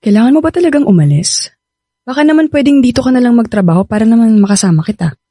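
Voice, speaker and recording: A woman's voice: fairly high pitch, animated, quick pace, mellow, no room sound, clean studio sound. An actress playing a loving, fretful young Filipina girlfriend in a radio drama, speaking tenderly and pleadingly. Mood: sad, worried.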